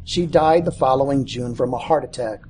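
A middle-aged man reading his own personal story aloud in a measured way, now and then stumbling over a word. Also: mid-range voice, darkish tone, a little hiss or hum.

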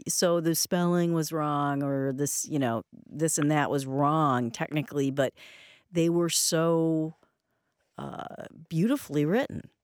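A radio show host who interviews a guest, talking slowly and haltingly with many fillers. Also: mid-range voice, clean tone, dry recording, clean studio sound.

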